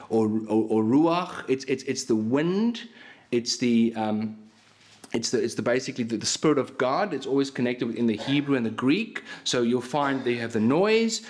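A man's voice: medium pitch, darkish tone. A man preaches a sermon in a small church hall. His is Afrikaans, and he talks animatedly into a stand microphone. His thoughts tumble out with frequent restarts. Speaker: pastor. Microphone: stand mic in front of him.

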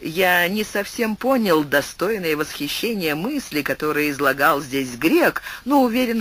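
A man reading an audiobook and voicing a calm, persuasive young sage in a slightly raised voice. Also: on the high side, heavily acted.

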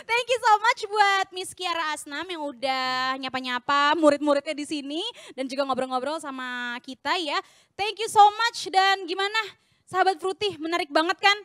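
A young woman hosts a live show from a studio stage. Her voice sits very high and bright, with a close, dry sound. She talks in a playful, chatty way and mixes English phrases into her hosting. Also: normal speed, no echo. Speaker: host.

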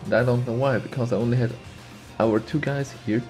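A man's voice: mid-range voice, steady tone, brisk, music in the background. A man with a slight sniffly cold, casually commenting on a game he plays and thinking aloud as he goes. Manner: thinks aloud, muses and repeats himself. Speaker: let's play host.

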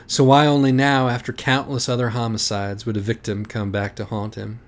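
A man's voice: mid-range voice, flat delivery, moderate pace. A man narrating a parody audiobook storybook with mock-literary, dramatic phrasing.

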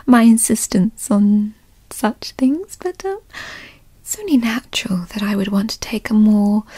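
A woman voicing a doting, possessive yandere girlfriend in a breathy whispered ASMR roleplay, coaxing and coyly controlling with formal wording. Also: a little high, warm tone, no room sound, exaggerated voice. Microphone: close microphone, dry sound.

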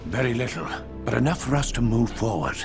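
A man playing an older intelligence director in a video game, formal, composed and deliberate. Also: medium pitch, very animated, gravelly, over music.

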